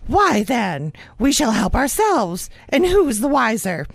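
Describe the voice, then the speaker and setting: A brazen, self-serving scavenger woman, played by an actress in a radio drama. She is scornful and animated, with a fairly high voice in a dry recording. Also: slow, creaky, dry recording, exaggerated voice. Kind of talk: audio drama acting.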